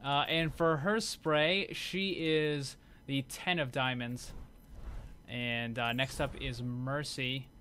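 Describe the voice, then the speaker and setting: A man's voice: medium pitch, steady tone, slow, bright, forceful tone. A man giving casual, unscripted commentary as host of a gaming video. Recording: clear background noise.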